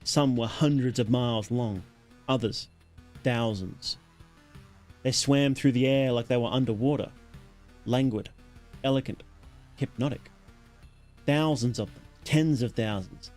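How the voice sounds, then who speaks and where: A man narrating a horror story as a livestreamer, dramatic and solemn, in deliberate, weighted phrases. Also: mid-range voice, darkish tone, faint music behind.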